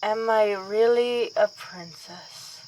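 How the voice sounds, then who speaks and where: A woman's voice: mid-range voice, takes it slowly, dark tone, lightly acted, poor audio. A woman playing a reluctant vampire princess in an ASMR roleplay, kindly and formal with wry, weary asides. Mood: chiding, kindly. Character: reluctant vampire princess, kindly but exasperated, gently haughty. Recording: outdoor ambience with faint insect noise.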